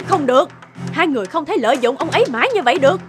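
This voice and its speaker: A sharp-tongued village shopkeeper in a cartoon folk tale. Her voice is very high and swoops expressively through exclamations, with music behind it. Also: animated, rapid-fire. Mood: indignant. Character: outspoken, sharp-tongued female village food seller. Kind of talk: animated folk-tale dubbing.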